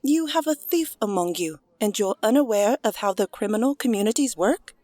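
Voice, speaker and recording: Woman as a mellow, confident rogue, dry sound. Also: a little high, lively intonation, a little fast, no room sound.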